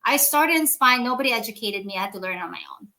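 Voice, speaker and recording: Bright, emphatic woman host. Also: a little high, lively intonation, fast. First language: not English. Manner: emphatic, lecturing, addresses listeners directly. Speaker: podcast host. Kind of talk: motivational podcast talk.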